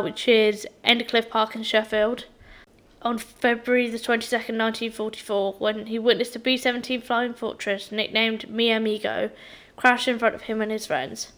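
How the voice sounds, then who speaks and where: Croaky woman, slightly high. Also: flat delivery, normal speed, fairly bright tone. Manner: chatty, with many ums and restarts. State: croaky voice from feeling unwell. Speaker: podcast host. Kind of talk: casual solo podcast monologue.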